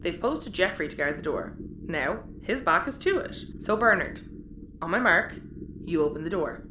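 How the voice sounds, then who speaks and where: A woman's voice: lowish voice, very animated, moderate pace. A woman playing a level-headed, commanding member of a spaceship crew in a sci-fi audio comedy. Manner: decisive, practical. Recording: roomy, noisy ambience.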